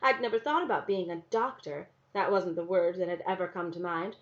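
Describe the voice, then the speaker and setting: A woman teacher reading a novel aloud to her class in a soft, measured, unhurried way. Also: fairly low pitch. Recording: dull lo-fi recording.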